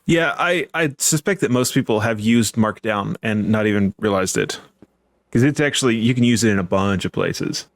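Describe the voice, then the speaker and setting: A man's voice: fairly low pitch, no room sound. A man hosting a casual chat show, talking in an easygoing, jokey way. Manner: easygoing, jokey, quick to chuckle. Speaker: podcast host.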